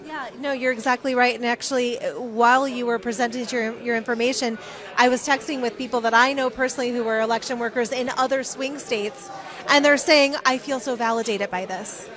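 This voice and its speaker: A woman's voice: a little high, fairly even intonation, brisk, fairly bright tone. A woman reporter doing a conversational on-location interview, speaking in an effusive, supportive way. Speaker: TV correspondent interviewing. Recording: crowd chatter around the voice. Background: crowd at an event.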